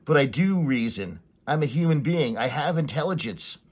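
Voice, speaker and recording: A man's voice: fairly high pitch, expressive, moderate pace, husky. A man voicing a panicked, desperate human explorer from a comic story, with dramatic, urgent declarations. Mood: protesting.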